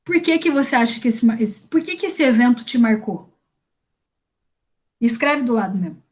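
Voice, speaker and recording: A young woman with a Brazilian Portuguese accent talks through a career exercise for a group. Her voice sits a little high. Her talk is loose and slangy, full of restarts. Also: animated, moderate pace, a little mellow. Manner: casual and slangy, with frequent restarts. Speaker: career workshop presenter. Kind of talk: informal workshop talk.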